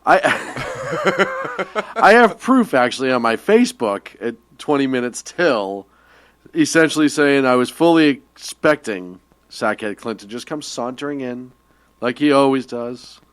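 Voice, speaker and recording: A man's voice: mid-range voice, expressive, unhurried, a bit bright, no room sound. A man co-hosting a radio talk show, teasing his partner and laughing often through political banter.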